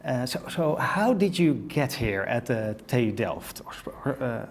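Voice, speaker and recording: A man's voice: medium pitch, sing-song, measured pace. A man hosting a podcast interview, eager and casual, often restarting and repeating words. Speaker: podcast host. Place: design exhibition venue.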